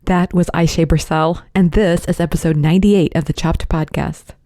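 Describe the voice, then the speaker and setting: A woman's voice: on the low side, fairly even intonation, moderate pace, background noise. A woman hosting a podcast, chatty and upbeat, with lots of casual asides. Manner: chatty, upbeat, many fillers like "you know". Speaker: podcast host. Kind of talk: podcast intro and interview.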